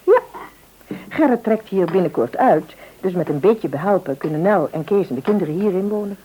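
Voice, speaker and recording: An actress playing a warm-hearted, practical middle-aged wife and mother in a radio play, expressive and homely. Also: lowish voice, brisk, lightly acted, low-quality recording. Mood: caring.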